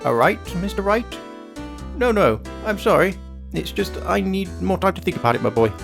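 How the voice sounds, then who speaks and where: A man's voice: high voice, sing-song, normal speed, creaky, over music, heavily acted. An actor playing a troubled, blustery old attorney in a video game, with an old-fashioned, grandfatherly way of talking. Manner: blustering, old-fashioned, stammering when flustered. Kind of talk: dramatic video game character acting.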